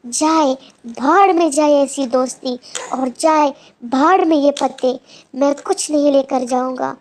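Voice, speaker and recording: A woman's voice: high-pitched, unhurried. A woman voicing a stubborn, resentful young bull in a children's moral story. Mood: bitter, stubborn.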